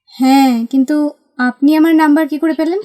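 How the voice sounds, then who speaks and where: A guarded young woman in an audio romance, acted by a woman, speaking in a high voice. She is prickly and irritated, pushing back at a persistent suitor. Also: steady tone, normal speed, mellow, faint music behind, natural voice. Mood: wary. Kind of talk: audio drama dialogue.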